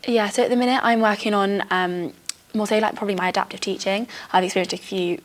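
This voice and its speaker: A woman's voice: medium pitch, fairly even intonation, fast. A young British woman, a teacher, speaking casually and reflectively in conversation. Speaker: early-career PE and geography teacher.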